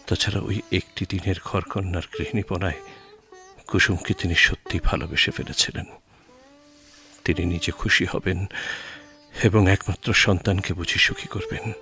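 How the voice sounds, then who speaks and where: Solemn storyteller for a bengali radio drama, low voice, soft music behind. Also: male voice. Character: solemn male storyteller of a sentimental tale. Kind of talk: dramatic scripted narration for radio play.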